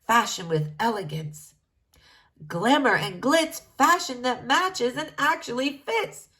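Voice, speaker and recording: A woman's voice: fairly high pitch, animated, slow, pushed and bright, exaggerated voice. A woman voicing an unhappy, fussy king who complains poutily in a children's storybook. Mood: pouting, griping. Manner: complaining, pouty. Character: unhappy, fussy young king who feels he doesn't belong.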